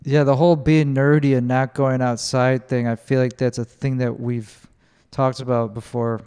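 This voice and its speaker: A young man hosting a casual podcast chat, talking in an awkward, halting way with many restarts. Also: mid-range voice, little pitch movement, a little mellow, smooth, in a large hall. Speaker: podcast host.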